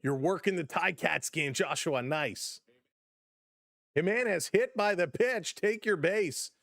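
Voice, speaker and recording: A man hosting a casual live-stream baseball play-by-play, chatty and upbeat as he greets viewers, his voice slightly hoarse from overuse. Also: high-pitched, slow, a bit bright, dry recording, studio quality. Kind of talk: casual play-by-play with chat reactions.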